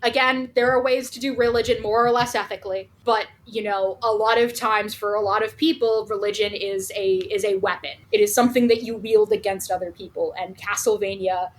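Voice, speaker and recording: A woman's voice: mid-range voice, steady tone, a little fast, hardly any pauses. A woman hosting a podcast, chatting casually in an opinionated, sweary way. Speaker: podcast host.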